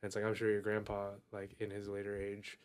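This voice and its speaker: Laid-back low-voiced male podcast host. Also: completely flat delivery, a little fast, clean tone. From grew up in a Filipino family in the US. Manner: easygoing, slangy. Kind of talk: casual podcast chat.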